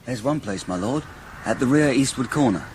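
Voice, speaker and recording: A man's voice: mid-range voice, expressive, normal speed, darkish tone, a little hiss or hum, lightly acted. An actor playing a respectful, hesitant scout reporting to his lord in a radio drama. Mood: dutiful.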